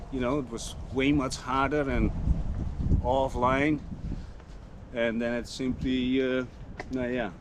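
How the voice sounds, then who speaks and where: A man from the Netherlands, vlogging outdoors in a mid-pitched voice. He rambles and restarts as he hunts for words. Traffic and engine noise run clearly behind him. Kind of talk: casual monologue to camera.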